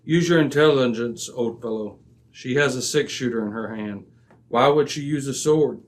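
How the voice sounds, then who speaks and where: A man playing a commanding, impatient detective in an old-time radio drama, crisp and didactic. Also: mid-range voice, normal speed, close to an everyday voice. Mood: assured, deductive. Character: commanding, razor-sharp, impatient male detective.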